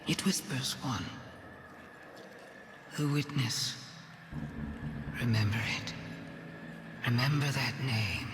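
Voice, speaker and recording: An actor voicing an eerie, portentous otherworldly being in a video game, breathy and ominous, in a cavernous echo. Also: male voice, mid-range voice, slow pace, long pauses, natural voice. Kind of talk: video game dialogue acting. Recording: cavernous echoing reverb with noisy ambience.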